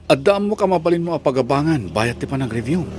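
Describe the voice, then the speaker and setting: A man's voice: fairly high pitch, animated, fast, noisy background, close to an everyday voice. A man with a Filipino accent playing a friendly, helpful young fellow student in an audio drama. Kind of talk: Ilocano audio drama acting.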